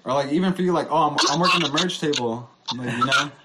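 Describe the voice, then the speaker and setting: A man's voice: a little high, fast talker, heavy background noise. A man co-hosting a casual interview, chiming in with playful follow-up questions.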